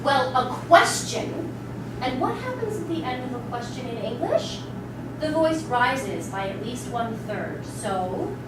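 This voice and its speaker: A short-tempered, exacting woman instructing a pupil, her high voice leaping in pitch. It is a theatrical delivery, caught from far off in a very echoey, noisy hall. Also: very animated, measured pace. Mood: lecturing. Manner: bossy, exacting. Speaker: stage actor in a dramatic reading. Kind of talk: live theatrical reading. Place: live stage before an audience.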